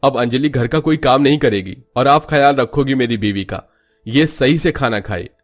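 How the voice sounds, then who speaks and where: A man's voice: medium pitch, normal speed, voice pushed up, natural voice. A man voicing a worried, angry young husband in a cartoon story, reproaching his mother in an earnest way. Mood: stern. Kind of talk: Hindi cartoon story character voice.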